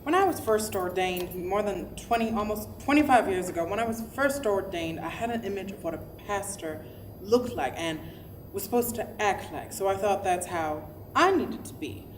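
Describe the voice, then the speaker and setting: Smiley, animated woman pastor played by an actress. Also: mid-range voice, normal speed, a little hiss or hum, natural voice, low-quality recording. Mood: candid. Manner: animated, restarts phrases, chuckles between thoughts. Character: optimistic, smiley woman pastor, unapologetically herself. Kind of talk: verbatim theatre monologue, staged reading.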